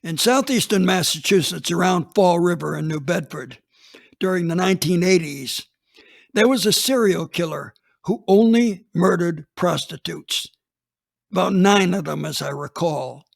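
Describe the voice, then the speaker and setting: A man's voice: sits high, normal speed, gravelly. A man reading a dramatized first-person story as a police chief, plainspoken and matter-of-fact.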